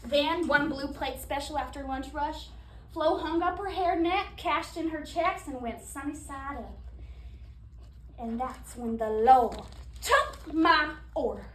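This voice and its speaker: A woman performing a sassy, devout diner waitress in a theatrical monologue, preaching with emphatic, dramatic pauses. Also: high-pitched. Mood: fervent, emphatic. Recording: echoey room, distant mic, background noise.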